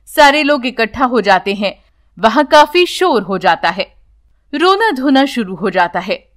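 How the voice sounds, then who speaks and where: A woman's voice: fairly high pitch, big pitch swings, unhurried, a bit bright. A woman narrating a scripted bedtime story for children as a storyteller.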